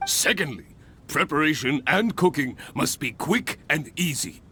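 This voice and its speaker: An actor playing a hearty, grandiose adventurers' guild examiner in a video game, speaking in formal, exuberant flourishes. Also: male voice, on the high side, expressive, a little slow, fairly bright tone, slight background noise. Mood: instructive, eager. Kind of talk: English video game voice acting.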